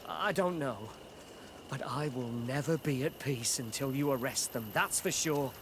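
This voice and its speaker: A man's voice: mid-range voice, measured pace, background noise, natural voice. An actor playing a nervous, frightened male informant who stammers and pleads, in a video game. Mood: apologetic.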